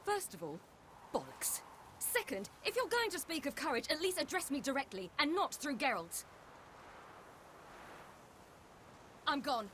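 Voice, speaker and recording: Fiery, high-voiced young heroine. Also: female voice, sing-song, moderate pace, a bit bright, a little hiss or hum. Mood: angry, defiant. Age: young adult. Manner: headstrong, outspoken. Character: fiery, stubborn, frustrated young woman with magic powers. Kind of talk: video game voice acting.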